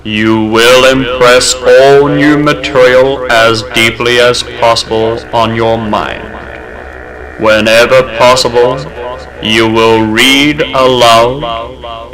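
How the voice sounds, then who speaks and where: A man speaking as a hypnotist, counting down in a slow, soothing, repetitive way and then giving firm suggestions. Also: mid-range voice, fairly even intonation, crowd noise, low-quality recording. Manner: commanding suggestions. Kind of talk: hypnosis induction from an old record. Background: music playing under the voice.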